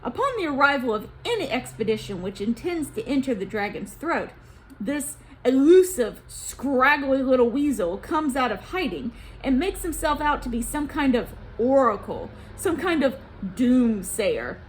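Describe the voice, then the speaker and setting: A woman voicing a haughty, angry male president in a storybook, forceful and imperious. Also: high-pitched, very animated, normal speed, a little hiss or hum, poor audio. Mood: indignant.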